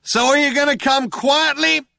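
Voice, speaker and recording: A man voicing a shouting, trigger-happy cop who earnestly insists on how sensitive he is, in a comic science fiction audiobook. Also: extremely high pitch, steady tone, moderate pace, creaky, no echo, studio quality, pitched above the performer's own voice, heavily acted. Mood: menacing, earnest. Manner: shouting, earnest, self-justifying. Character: loud-hailer cop, shouting, self-consciously sensitive, trigger-happy tough guy.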